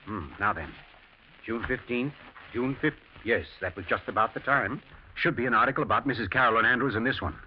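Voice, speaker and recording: An actor playing a mild, tired older English husband who turns anxious and suspicious, in 1940s radio drama style with a British accent. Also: male voice, medium pitch, fairly quick, lightly acted. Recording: muffled, noisy vintage radio drama recording.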